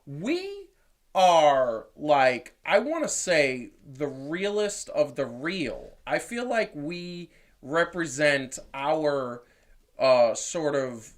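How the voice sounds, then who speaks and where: A man hosting a live sports chat show in a hyped, rambling way. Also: a little high. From born South Carolina, raised New York. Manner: hyped, rambling, self-interrupting. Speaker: host.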